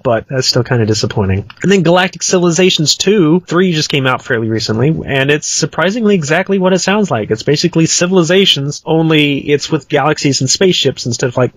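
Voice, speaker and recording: A man's voice: medium pitch, fairly bright tone, dry recording, rough recording. Casual male podcast host, dry close sound. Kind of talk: casual roundtable chat about games.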